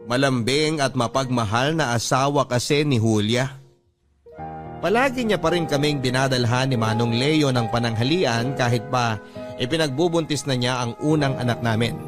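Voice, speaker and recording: A man's voice: medium pitch, natural voice. Actor as earnest husband telling his story over music. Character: devoted husband and father fondly recounting his married life. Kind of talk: first-person dramatized radio story narration in Tagalog. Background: music bed.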